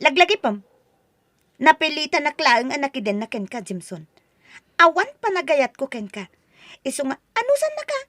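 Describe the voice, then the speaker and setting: A woman's voice: high voice, big pitch swings, normal speed, long pauses, a bit bright, no echo, studio quality. A woman playing a defiant, headstrong young daughter in a melodramatic audio drama, blunt and emotional. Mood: cold, scornful.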